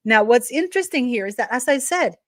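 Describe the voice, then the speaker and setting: A woman's voice: a little high, expressive, fairly quick, no room sound, studio quality. A woman with a non-native English accent gives a scornful, gossipy commentary at home, sometimes swearing. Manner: scornful, gossipy, emphatic, drops curse words. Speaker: YouTube commentator. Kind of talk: casual ranting commentary.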